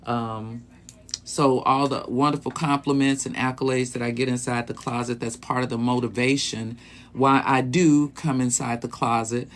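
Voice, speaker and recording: A man's voice: mid-range voice, flat delivery, moderate pace, a little hiss or hum. A man in his late fifties who hosts a live stream as a sexologist, chatting casually with viewers in an easygoing, rambling way with lots of ums. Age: 50s. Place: small bedroom closet.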